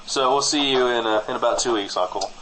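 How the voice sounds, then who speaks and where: A man playing a wry small-town nephew in improvised comedy banter, speaking hesitantly with dry, deadpan wit. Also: mid-range voice, fast, slight background noise, close to an everyday voice, low-quality recording. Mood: wry, exasperated. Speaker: podcast co-host playing a character.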